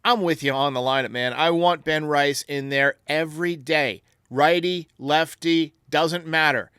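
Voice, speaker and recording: Bright, emphatic man hosting a sports show. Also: fairly high pitch, a little slow, clean tone, dry recording, clean studio sound. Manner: emphatic, argumentative. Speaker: podcast host answering fan voicemails. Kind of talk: casual opinionated sports talk.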